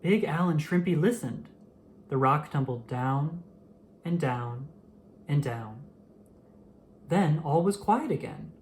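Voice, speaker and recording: An expressive storyteller reads a picture book aloud with a mid-pitched, very animated voice. The delivery rises and dips widely to act out the tale. Faint noise sits behind the reading. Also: takes it slowly, long pauses. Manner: animated, acts out the dialogue.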